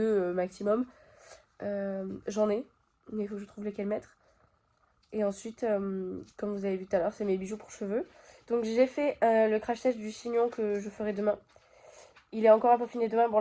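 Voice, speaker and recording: Stuffy-nosed young vlogger woman with a mellow voice. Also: mid-range voice. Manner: chatty, talks straight to viewers with many fillers. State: stuffy nose from a cold. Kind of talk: casual chat to camera. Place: bedroom at home.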